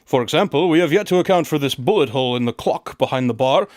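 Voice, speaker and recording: An actor playing an aloof, methodical detective in a radio drama, with a dry, pompous, clipped manner. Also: male voice, a little high, animated, quick pace, a little mellow, no echo, natural voice. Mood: dry deduction. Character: aloof, methodical male detective in the Sherlock Holmes mould.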